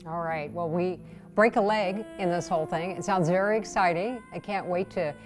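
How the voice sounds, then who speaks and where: A woman's voice: fairly low pitch, moderate pace, soft background music. A woman hosting an interview in a conversational broadcast style, introducing and questioning her guest. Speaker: interview host.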